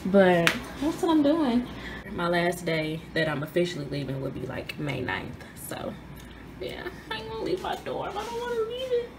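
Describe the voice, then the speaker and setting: A young woman in her twenties chatting casually in a vlog from her dorm room, her voice hoarse, filmed on a phone held at arm's length. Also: on the low side, huge pitch swings, normal speed, music in the background. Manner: chatty, rambling, everyday. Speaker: vlogger. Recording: noisy phone recording.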